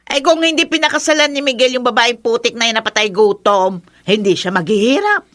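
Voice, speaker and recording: Bitter old filipino mother in law, radio soap, highish sharp voice, very dramatic. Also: expressive, fairly quick. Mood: spiteful, scornful. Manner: scornful, exclamatory, melodramatic. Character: bitter, spiteful older mother-in-law with rheumatism. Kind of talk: Philippine radio drama acting.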